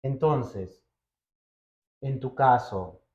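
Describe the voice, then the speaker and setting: A man's voice: mid-range voice, very slow, darkish tone. A man hosting a podcast, speaking in an attentive, conversational interview style on dull, lo-fi audio. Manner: attentive, frequent short acknowledgements. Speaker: podcast host.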